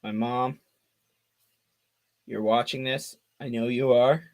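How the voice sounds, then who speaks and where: A man of twenty giving fan play-by-play on a live stream, calling the action quickly and chatting with viewers between plays. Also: medium pitch, steady tone, a little mellow. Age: 20s. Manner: rapid call of the action mixed with chatty asides to viewers.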